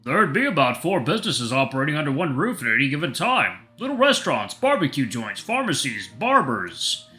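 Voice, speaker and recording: A man's voice: fairly high pitch, big pitch swings, a little fast, sharp tone, faint music behind. A man voicing a friendly, folksy cafe owner who chats proudly in a story reading. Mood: fond, chatty. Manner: folksy, chatty. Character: friendly, chatty male cafe owner and baker, proudly reminiscing.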